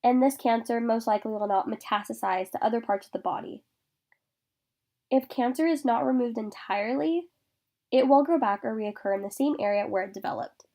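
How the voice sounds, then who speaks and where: A young woman talks through her class slides with a stuffy, congested voice. Her fairly high voice has a gravelly fry underneath as she reads steadily through lists of facts. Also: brisk, dark tone. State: congested from a sinus infection. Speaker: student presenter. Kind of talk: informational class presentation over slides.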